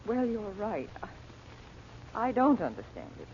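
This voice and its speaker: A woman's voice: medium pitch, sing-song, takes it slowly, darkish tone. A woman playing an anxious, caring mother in an old-time radio adventure serial. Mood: hesitant, concerned. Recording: dull, noisy vintage radio recording.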